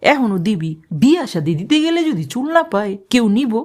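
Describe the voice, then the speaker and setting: A woman's voice: fairly high pitch, sing-song, brisk, noisy background. A woman voicing a hot-tempered, sarcastic mother in a cartoon, nagging her daughter. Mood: angry nagging. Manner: nagging, sarcastic, shouting rebukes. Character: hot-tempered scolding Bangladeshi mother, middle-aged. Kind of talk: cartoon voice acting.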